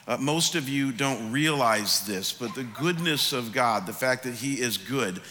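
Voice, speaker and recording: Male pastor with a head cold, bright forceful tone, long hall reverb, preaching. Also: medium pitch, expressive, measured pace, slight background noise. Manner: conversational, rising to emphatic questioning. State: congested with a cold. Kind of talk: sermon.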